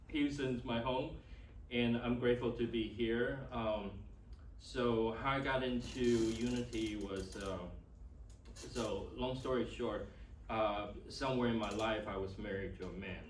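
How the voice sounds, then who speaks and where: A man with a Taiwanese accent speaks to a class in a loose, informal way, at a middling pitch. He is picked up from a distance in a reverberant room, with a little noise around him. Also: fairly even intonation, unhurried. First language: Chinese. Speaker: class instructor. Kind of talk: informal teaching and personal introduction. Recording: echoey room, distant mic, faint noise.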